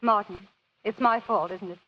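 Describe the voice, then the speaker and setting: An actress playing a young woman devoted to her doctor husband, warm and coaxing, in a radio drama. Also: mid-range voice, normal speed, natural voice. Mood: consoling. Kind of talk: 1940s radio acting. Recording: dull old radio recording.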